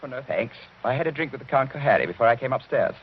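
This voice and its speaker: An actor playing a brash, skeptical young gentleman in an old-time radio drama, polite but stubborn and incredulous. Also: medium pitch, expressive, fast talker, natural voice. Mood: wry, uneasy. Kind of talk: 1940s radio drama acting. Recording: muffled noisy old radio recording.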